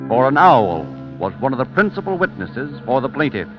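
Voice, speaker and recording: A man narrating a scripted story for old-time radio in a formal, deliberate manner. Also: medium pitch, big pitch swings. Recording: dull old radio recording, music.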